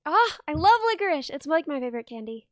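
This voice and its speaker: A young woman chats her way through a soldering stream, bubbly and quick to laugh as she explains each step. Her voice sits high and bright, and the sound is dry and close. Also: very animated, no echo. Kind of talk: casual live-stream chat and explanation.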